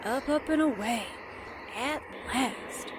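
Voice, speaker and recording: Woman acting a high, drawling wisecracking sidekick. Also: slow, background noise. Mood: dreamy, breezy. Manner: breezy, wistful, slangy. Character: wisecracking, dreamy young newspaperwoman sidekick. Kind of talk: radio drama acting in 1940s manner.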